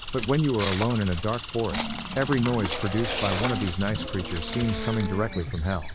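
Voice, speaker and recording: A man's voice: fairly low pitch, background noise. A man who speaks English as a second language, narrating a scripted story in a theatrical, suspenseful way. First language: not English.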